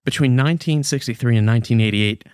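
A man's voice: medium pitch, fast talker, darkish tone, clean tone, dry recording, clean studio sound. A young American man co-hosting a podcast with conversational news commentary. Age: young adult. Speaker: podcast co-host.

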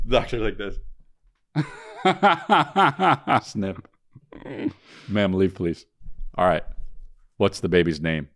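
A man co-hosting a casual podcast, talking in halting bursts with lots of restarts. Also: lively intonation, dry recording, clean studio sound. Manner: halting, many restarts, goes quiet and low when musing. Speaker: podcast co-host.

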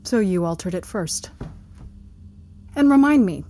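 An actress playing a sceptical, cutting interrogator who puts pointed, sardonic questions in an audio drama. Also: female voice, mid-range voice, animated, measured pace, mellow, lightly acted. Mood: sardonic, challenging.

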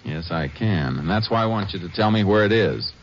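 A seasoned lawman of the old West played by a male actor speaks in a low voice that moves freely up and down. He is plain-spoken, fatherly and firm. The sound is a dull, slightly noisy 1950s radio drama recording. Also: expressive, a little fast, natural voice. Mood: stern. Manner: folksy, firm, questioning. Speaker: lead actor and narrator of a radio western.

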